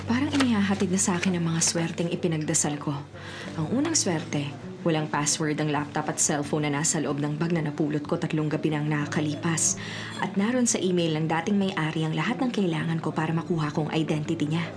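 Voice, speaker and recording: A woman's voice: low voice, a little fast, natural voice. An actress playing a cunning female forger who is posing as someone else, acting and narrating in a Filipino radio drama. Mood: sly, confiding. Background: dramatic music.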